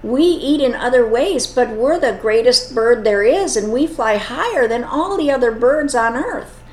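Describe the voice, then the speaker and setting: A woman's voice: on the high side, expressive, a little slow. A woman voicing an earnest male eagle who urges a young bird to fly. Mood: urging. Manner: earnest, urging. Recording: echoey room.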